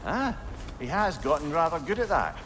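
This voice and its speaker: A wry, animated old companion. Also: male voice, fairly high pitch, normal speed, a little hiss or hum. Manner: wry, colourful, old-fashioned phrasing. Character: wry, talkative old male companion, wise and excitable. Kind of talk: dramatic video game acting.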